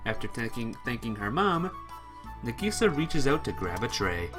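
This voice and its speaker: A woman's voice: very deep voice, very animated, moderate pace, over music. A woman reading visual novel narration aloud as she plays through the game. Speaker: visual novel player and reader.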